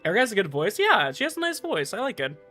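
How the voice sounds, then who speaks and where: A male game streamer with a mid-to-high voice chats casually over faint music. He repeats words and trails off as he comments. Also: huge pitch swings, rapid-fire, sharp tone.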